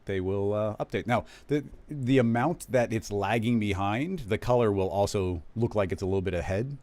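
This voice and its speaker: A man hosting a live stream, casually talking viewers through a software tutorial, rambling and correcting himself as he goes. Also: fairly low pitch, normal speed. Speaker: live stream host teaching 3D animation software.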